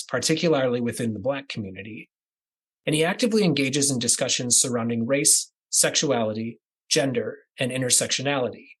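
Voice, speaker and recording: A man from the American Midwest hosting a podcast, reading a scripted introduction in a measured, deliberate cadence that moves through lists. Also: medium pitch, fairly bright tone, slightly rough, low-quality recording. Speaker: podcast host.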